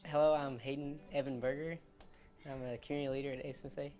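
A man's voice: mid-range voice, level tone, quick pace, a little mellow, faint music behind. A teenage boy, a student leader, speaking earnestly in a studio interview. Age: teens.

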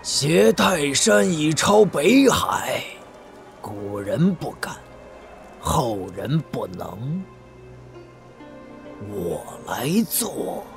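A cynical, eccentric old sage in a wuxia audio drama. He drawls slowly in a creaky voice, swinging up and down with theatrical mockery. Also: mid-range voice, sing-song, soft background music, exaggerated voice. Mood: defiant. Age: older. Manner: theatrical, mocking, drawn-out.

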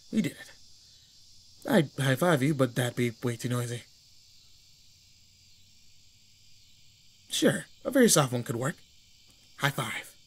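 A man playing a cocky, teasing teenage delinquent with a soft side, talking casually to the listener in a roleplay audio drama. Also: a little high, slightly rough, exaggerated voice. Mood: hushed, pleased. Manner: brash, casual, slangy teasing. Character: cocky, teasing teenage male delinquent, protective and sweet underneath.

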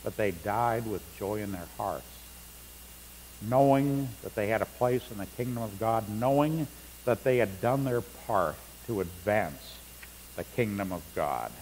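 A middle-aged man preaches at an unhurried pace, leaning into scripture passages as he reads them. His voice carries a long church reverb with a faint hum underneath. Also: fairly low pitch, animated, a little mellow. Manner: unhurried preaching, reading scripture aloud with rising emphasis. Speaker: pastor. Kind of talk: sermon. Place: large church sanctuary.

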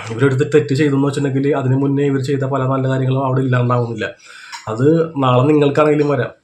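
A man with a Kerala accent talks through his reactions off the cuff. His delivery is very quick and stays on a fairly flat pitch. He explains as he goes, often checking that the listener has understood. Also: a little hiss or hum. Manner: explanatory, repeats check-in tag questions. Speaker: reaction video commentator. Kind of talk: unscripted reaction commentary.

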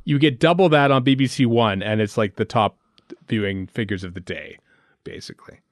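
A man's voice: fairly low pitch, a little fast, dry recording, clean studio sound. A man chatting casually and thinking aloud as a podcast host. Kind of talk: casual fan commentary chat while watching a show.

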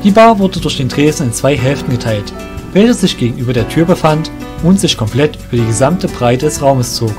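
A man's voice: a little high, lively intonation, fairly quick, music in the background. A man reading scripted narration for a fantasy audio drama.

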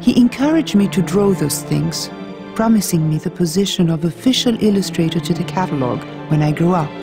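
A woman's voice: fairly low pitch, expressive, a little fast, music in the background. A woman reading an audiobook as an earnest twenty-eight-year-old island woman writing an intimate letter. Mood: reflective, fond.

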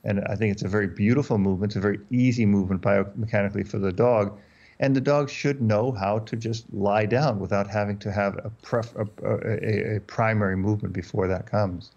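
Brief: german-native male dog trainer, 50s, lowish voice, lo-fi call mic, restarts a lot. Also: steady tone, fairly quick, a little mellow. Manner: explanatory, repeats words and restarts often. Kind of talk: interview guest on a video call. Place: dark room indoors. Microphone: headphones and desk mic on a video call.

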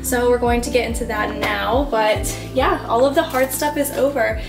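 A woman's voice: fairly high pitch, steady tone, moderate pace. A young woman in her twenties chatting casually through a cooking vlog at home, giving upbeat step-by-step tips. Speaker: flight attendant vlogger. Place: home kitchen, indoors. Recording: guitar music playing underneath. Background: guitar music.

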